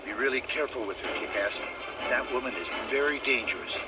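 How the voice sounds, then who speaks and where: A man's voice: mid-range voice, a little fast, very noisy, natural voice. A man playing an embattled, urgent masked comrade giving wary warnings in a video game cutscene. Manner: urgent, alarmed. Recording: loud music behind the voice.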